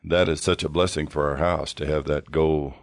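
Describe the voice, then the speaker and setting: Very deep-voiced male radio preacher. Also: steady tone, moderate pace, no room sound, clean studio sound. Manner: earnest, preaching to listeners. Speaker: radio show host.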